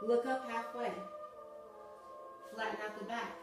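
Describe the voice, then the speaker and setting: A woman's voice: on the high side, fairly even intonation, measured pace, reverberant, music in the background. A woman yoga instructor guiding a live class through breathing and poses in a conversational, encouraging way, sniffling now and then after nose surgery. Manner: encouraging, conversational with frequent okays.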